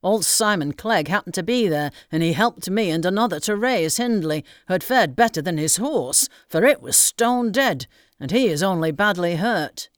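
Woman reading flustered old gentleman, exclaiming, voice pitched up, dry sound. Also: big pitch swings, slow, no echo. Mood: agitated. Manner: agitated, exclaiming breathlessly. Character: excited elderly gentleman, easy-going merchant.

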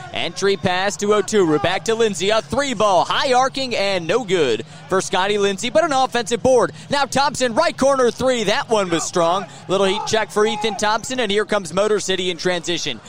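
An American man doing live radio play-by-play of a basketball game, punchy and rising excitedly with the action. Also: sits high. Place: live inside a sports arena.

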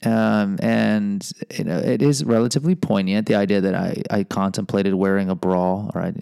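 A man's voice: on the low side, fairly even intonation, moderate pace, vocal fry, a little mellow, clear, resonant tone, no echo, clean studio sound. A middle-aged American man hosting a podcast, reading a personal memoir with rambling, self-deprecating asides. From United States. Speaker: podcast host and teacher.

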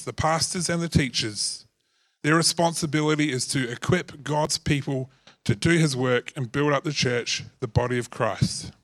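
A man preaching to a church congregation in a relaxed, joking way. His voice is slightly husky from overuse and sits a bit higher than most men's. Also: no echo. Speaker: pastor. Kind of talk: sermon.

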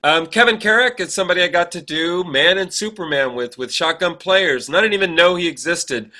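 A man's voice: on the high side, bright, forceful tone. A man co-hosting a podcast, chatting casually and reminiscing in a rambling, anecdotal way. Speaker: podcast co-host.

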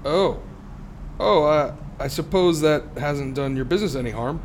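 A man's voice: a little high, normal speed, a little hiss or hum, natural voice. An actor playing a haunted young motorist who tells his eerie story in a radio drama, grave and suspenseful. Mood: nervous, halting. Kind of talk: radio drama narration and acting.

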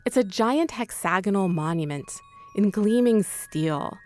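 A woman's voice: mid-range voice, animated, unhurried, a little mellow, slight background noise. A woman hosting and narrating a scripted audio documentary. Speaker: podcast host and narrator.

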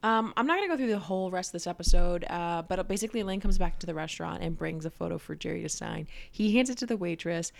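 A woman's voice: lowish voice, animated, quick pace, vocal fry, hardly any pauses. A young woman co-hosting a podcast, chatting casually about a sitcom episode, swearing and saying "like" a lot. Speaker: podcast co-host.

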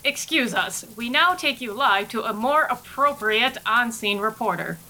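Composed studio newsreader, forceful, higher-pitched voice, 1930s broadcast manner. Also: female voice, lively intonation, a little slow, slight background noise, full character voice, rough recording. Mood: formal, composed. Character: brisk, formal female radio studio announcer. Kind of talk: 1930s radio announcer acting.

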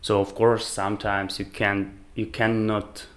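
A man answering interview questions in a soft-spoken, casual way, sometimes repeating words. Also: fairly low pitch, little pitch movement, measured pace.

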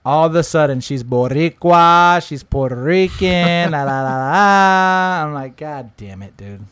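Joking man, higher voice, dry sound. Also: unhurried, clear, resonant tone, no echo. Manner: animated, joking, swears and says "dude" often. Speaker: podcast co-host. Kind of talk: casual chat.

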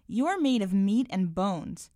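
A woman's voice: medium pitch, big pitch swings, slow, dark tone, no echo, studio quality. A woman reading a short story aloud, voicing a stubborn, literal-minded little girl who whines indignantly. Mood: puzzled, earnest. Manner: whining, indignant.

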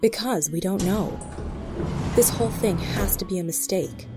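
A woman's voice: mid-range voice, normal speed, over music, lightly acted. An actress playing a tough, short-tempered female FBI agent in an audio drama, brusque and authoritative. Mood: guarded, firm.

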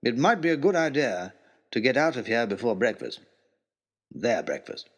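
A man's voice: mid-range voice, very animated, moderate pace, breathy edge. A man voicing an unflappable aristocratic expedition leader, dry and understated, in an adventure story.

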